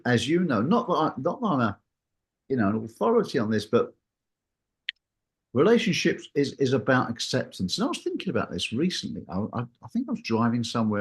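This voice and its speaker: A man talks casually in a discussion, his pitch swinging widely as he goes. He rambles through anecdotes and slips into reported dialogue.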